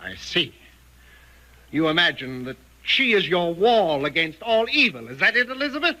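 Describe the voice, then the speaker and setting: An actor playing a controlling, irritable husband feigning tender concern in a 1940s radio thriller, stern and insistent. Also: male voice, high-pitched, very animated, moderate pace, sharp tone, full character voice, low-quality recording. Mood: menacing. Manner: stern, clipped, imperious, insistent. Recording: vintage 1940s radio recording.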